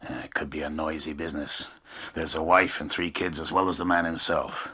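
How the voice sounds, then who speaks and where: Very deep, commanding gang boss. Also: male voice, steady tone, a little fast, bright, forceful tone, husky, full character voice. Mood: cold, scheming. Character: hard male gang boss handing out killings, commanding and cold. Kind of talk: radio drama acting.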